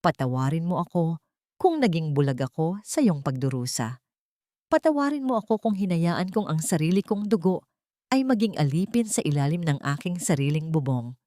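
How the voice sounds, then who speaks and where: A woman's voice: sits low, sing-song, normal speed, dark tone, dry recording, studio quality. A woman voicing a stern, remorseful old billionaire father in a Tagalog audio drama, with a solemn, commanding delivery. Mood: tearful remorse.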